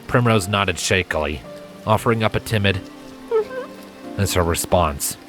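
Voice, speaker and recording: A man's voice: low voice, unhurried. A man reading fan fiction as a dramatic, scripted storybook narration. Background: music and rain effects.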